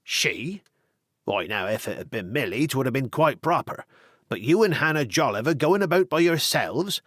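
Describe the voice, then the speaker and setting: Man doing a stern old country dad, rustic, lively delivery. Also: mid-range voice, normal speed, slightly rough, no room sound, studio quality. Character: stern old farmer father, gruff and firm.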